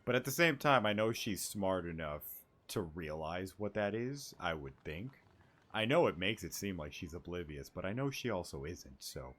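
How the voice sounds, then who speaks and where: A man's voice: deep voice, expressive, moderate pace, a bit bright. A man streaming a game, reacting in casual off-the-cuff commentary, with game music behind his voice.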